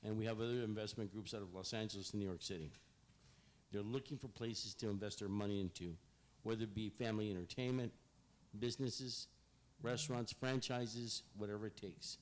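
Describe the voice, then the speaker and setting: A man, giving a loud, rambling pitch to a city board with many fillers and restarts. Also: fairly even intonation, a little fast. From Rio Grande Valley, Texas. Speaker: business presenter at a board meeting. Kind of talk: public comment speech. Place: city board meeting room.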